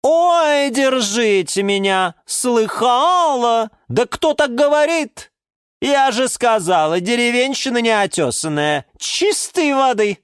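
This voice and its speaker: A woman's voice: fairly high pitch, expressive, slow, smooth, no room sound, clean studio sound, voice pushed up. A woman voicing a snobbish, scornful female orchid in a children's story, sneering and shrieking. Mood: mocking outrage.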